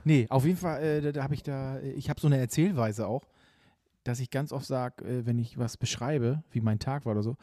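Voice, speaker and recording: A man's voice: medium pitch, brisk, dark tone, no room sound, studio quality. A man co-hosting a casual podcast, telling rambling stories full of hesitant fillers. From Bremerhaven, northern Germany. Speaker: podcast co-host.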